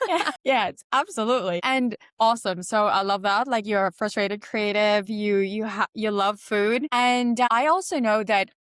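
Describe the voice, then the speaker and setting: Woman host, from the Philippines, medium pitch, affirming and casual, dry sound. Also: moderate pace, hardly any pauses, clean tone, no room sound. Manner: warm, affirming, fillers. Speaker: podcast host. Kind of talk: casual interview chat.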